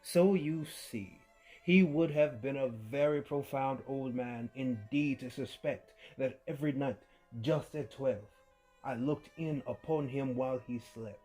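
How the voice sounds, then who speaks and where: A man giving a dramatic horror story reading, swinging between hushed murmurs and sudden loud outbursts, with an unhinged edge and creepy laughs. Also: mid-range voice, a little slow, breathy edge, rough recording. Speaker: narrator. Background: faint violin music.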